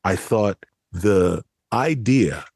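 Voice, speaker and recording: A man's voice: fairly low pitch, animated, extremely slow, vocal fry, darkish tone, breathy edge, no room sound, studio quality. A man co-hosting a podcast, giving a casual but articulate review with emphatic, explanatory phrasing. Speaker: podcast co-host.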